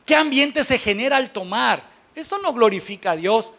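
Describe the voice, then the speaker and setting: High-voiced Mexican man preaching bluntly. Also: lively intonation, moderate pace, creaky, fairly bright tone. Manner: blunt, colloquial, emphatic with rhetorical questions. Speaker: pastor. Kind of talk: conversational sermon to a congregation.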